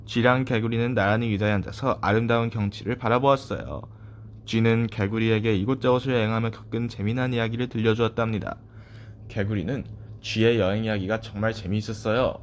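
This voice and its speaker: A man's voice: lowish voice, steady tone, a little fast. Male children's storyteller over background noise. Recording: clearly noisy background.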